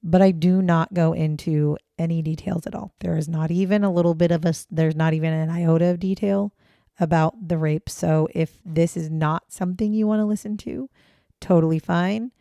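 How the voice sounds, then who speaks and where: A woman's hoarse, raspy voice, gravelly and dark, on a fairly low pitch. She talks through a true-crime podcast in a chatty, blunt way, with casual swearing. The sound is dry and close, with no room around it. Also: steady tone, moderate pace, no echo. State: hoarse, raspy voice after choking on water. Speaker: podcast co-host.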